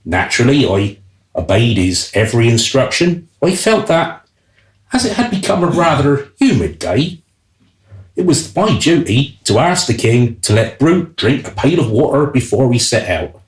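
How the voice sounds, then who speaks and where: A man's voice: mid-range voice, very animated, normal speed, lightly acted. A man playing a wry royal groom who narrates a comic radio play in a theatrical style, with an echoing sound. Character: wry male royal groom telling the tale.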